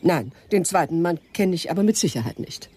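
An actress playing a genteel sanatorium owner in a German radio crime drama, polite, hesitant and evasive. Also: female voice, lowish voice, animated, brisk, dark tone, breathy edge. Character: genteel, guarded lady owner of a private sanatorium.

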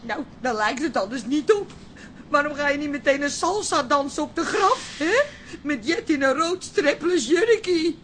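Sentimental, sharp-tongued daughter, high-pitched, radio play, faint background noise. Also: female voice, expressive, moderate pace. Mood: hurt, sarcastic. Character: wounded, sarcastic grown daughter, sentimental underneath.

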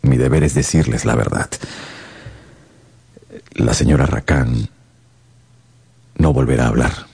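A solemn doctor in a radio drama. His voice is extremely deep and very husky, with heavy gravel. He speaks gravely and sombrely. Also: darkish tone, exaggerated voice. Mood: grave, regretful. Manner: slow, solemn. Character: grave, sombre male doctor delivering bad news. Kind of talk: Spanish-language radio drama acting.

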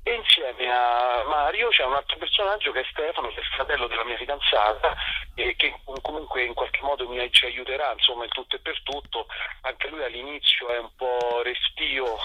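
A man's voice: medium pitch, fairly quick, slightly rough. A man, interviewed as an actor and playwright, chatting informally and often checking in with tag questions. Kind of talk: informal interview, explaining his work. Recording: muffled, dull phone-like line.

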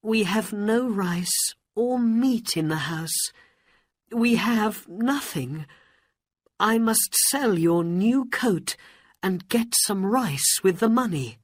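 A woman's voice: on the low side, huge pitch swings, extremely slow, breathy edge, dry recording, clean studio sound, voice pushed up, heavily acted. A woman voicing a poor, caring, fretful mother in a storybook, in an exclaiming manner. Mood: apologetic. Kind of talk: storybook character voice.

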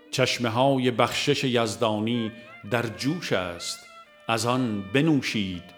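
Guy with a lowish voice reading a spiritual audiobook, solemn and measured, formal wording, faint violin music behind. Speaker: audiobook reader. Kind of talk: scripted narration of a devotional text.

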